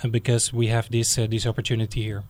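A man's voice: on the low side, monotone, fast, darkish tone, slight background noise. Reflective man from the Netherlands. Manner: reflective, hesitant restarts. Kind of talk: casual interview chat.

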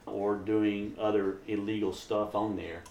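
Low-voiced man explaining things in an echoey room. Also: flat delivery, measured pace, mellow. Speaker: amateur radio operator being interviewed. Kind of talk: casual explanatory interview talk. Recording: roomy echo, some background noise.

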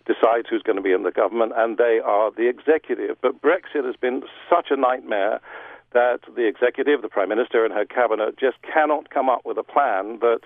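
A middle-aged Englishman, explaining politics in a radio interview with measured pauses and restarts. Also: medium pitch, no room sound. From England. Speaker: guest broadcaster. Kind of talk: radio interview over the phone. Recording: tinny phone line.

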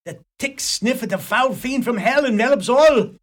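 A man's voice: very high-pitched, moderate pace, fairly bright tone. A man playing a stern, pompous Irish village priest in a radio play, preaching in florid phrases with an Irish accent. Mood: thundering.

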